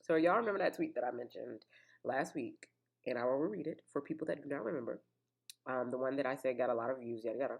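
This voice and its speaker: Low-voiced woman rambling on her podcast, chatty and lively, bit stuffy from a cold. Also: quick pace, vocal fry, husky. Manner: chatty and animated, with fillers and asides to listeners. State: slightly congested with a cough. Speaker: podcast host. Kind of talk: casual solo podcast chat.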